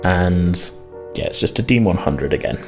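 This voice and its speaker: A man's voice: low voice, expressive, normal speed, over music. A man narrating a tabletop horror game as game master, phrasing things hesitantly as he improvises.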